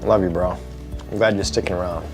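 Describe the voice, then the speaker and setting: A man's voice: low voice, steady tone, a little fast, music in the background, poor audio. A man acting an affectionate, joking brother in scripted radio ad banter. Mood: affectionate teasing.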